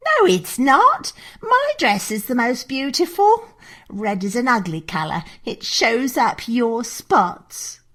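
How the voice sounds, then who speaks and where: A woman's voice: a little high, sing-song, takes it slowly, fairly bright tone, full character voice. A woman voicing a cruel, vain young stepsister in a children's fairy tale, haughty and sneering. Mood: taunting, cruel.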